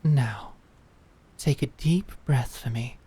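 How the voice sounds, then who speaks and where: A woman voicing a stern, teasing female knight who teaches swordsmanship, with a dry, measured manner. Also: rumbling low, dark tone, husky, full character voice. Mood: calm. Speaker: sword instructor. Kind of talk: fantasy audio drama acting.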